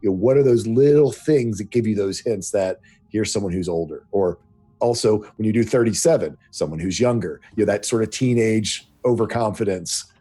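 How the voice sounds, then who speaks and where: Man, deep voice, dark mellow tone, husky, thoughtful casual chat. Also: normal speed. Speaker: player. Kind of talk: casual chat giving tips.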